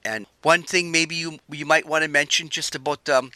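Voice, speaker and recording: An older man hosting a radio show, chatting in a relaxed, rambling way. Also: a little high, moderate pace, dry recording. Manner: relaxed, chatty, wandering sentences. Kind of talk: casual interview chat.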